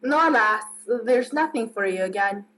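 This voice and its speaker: A woman's voice: fairly high pitch, animated, unhurried, fairly bright tone, a little hiss or hum, poor audio. A woman playing a plain-spoken rustic village postman in a radio play, talking in folksy dialect with emphatic tags. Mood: folksy, apologetic.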